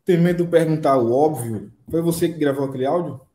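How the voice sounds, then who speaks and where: A man's voice: fairly high pitch, fast talker, warm tone. A man with a Brazilian accent working as an interviewer, asking direct questions. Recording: echoey roomy interview audio.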